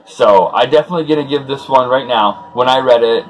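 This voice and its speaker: A man with an average-pitched voice hosts a comic read-along. He retells the story casually and off the cuff, like an informal fan, slipping loosely into dialogue in his own voice and adding his own commentary. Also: brisk, low-quality recording.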